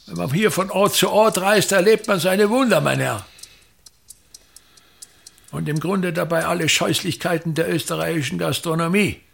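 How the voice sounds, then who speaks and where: A pompous, gravelly old theatre director. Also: male voice, sits high, a bit bright, exaggerated voice. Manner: grandiloquent, complaining monologue. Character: pompous aging male touring theatre director. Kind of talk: radio drama acting.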